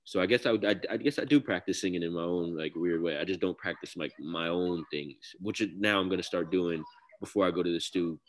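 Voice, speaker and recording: A young man from Connecticut speaks in a very low, deep baritone. He talks loosely and slangily as an interview guest, stringing thoughts together with "like." His delivery stays casual and unhurried. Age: young adult. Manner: loose, slangy, heavy use of "like" fillers. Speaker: guest rapper.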